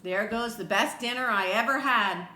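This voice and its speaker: A woman reading as a kindly, bright-voiced storybook cook. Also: on the high side, normal speed. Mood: sad, apologetic. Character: kindly, generous woman cook who shares her stew. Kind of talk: picture book character voicing. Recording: roomy echo with faint background noise.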